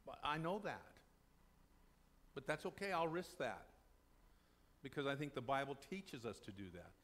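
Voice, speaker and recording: A man's voice: medium pitch, sing-song, moderate pace, fairly bright tone. An older man preaching a sermon in a small church, talking to the congregation in a conversational way and answering his own questions. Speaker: pastor. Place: small church sanctuary, indoors.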